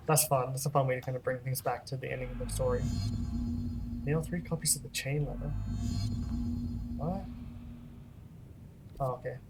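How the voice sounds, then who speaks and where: A let's-play host giving casual gameplay commentary in a relaxed, rambling way with frequent laughs. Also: mid-range voice, normal speed, pauses often, loud music behind the voice.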